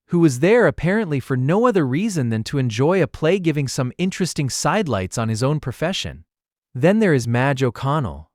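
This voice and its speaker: A man playing a calm, bookish young amateur detective with pedantic, deliberate phrasing in an audiobook mystery drama. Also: medium pitch, dry recording, clean studio sound, lightly acted.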